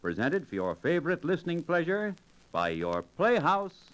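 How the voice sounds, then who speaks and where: A man's voice: fairly high pitch, huge pitch swings, normal speed, fairly bright tone, low-quality recording. A man reading a scripted sign-off as announcer in 1940s radio style. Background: music.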